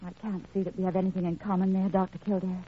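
A woman's voice: medium pitch, level tone, fast talker, a little hiss or hum, lightly acted. An actress playing a proud, fragile young female patient, tremulous and melodramatic in old-time radio drama style. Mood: guarded, doubtful. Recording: tinny, band-limited old radio recording.

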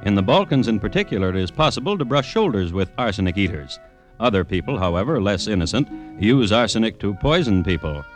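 A man's voice: lowish voice, big pitch swings, fairly quick, soft background music. A man hosting and narrating an old radio crime drama in a dry, precise and ironic way. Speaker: host narrator.

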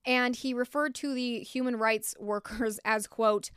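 An American woman narrates a true story for her podcast, breaking in with frank, opinionated asides. Her voice sits a little high and sounds smooth and clear. The recording is dry and close, with no room sound. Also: steady tone, unhurried, a bit bright, dry recording. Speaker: podcast host.

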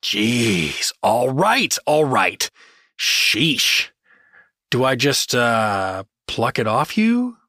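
A man's voice: medium pitch, big pitch swings, drawn-out words, sharp tone, no room sound, clean studio sound, exaggerated voice. An actor playing a hapless, sarcastic everyman hero in a comedic fantasy audio drama, joking and hesitant.

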